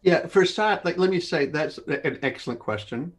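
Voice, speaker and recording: A man's voice: a little high, animated, moderate pace. A man in his fifties, born in Australia and raised in northern Canada, hosting a live webinar and explaining things step by step in a conversational way, often repeating words. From born in Australia, grew up in northern Canada. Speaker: company host of an online Q&A session. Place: home office, indoors. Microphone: video call.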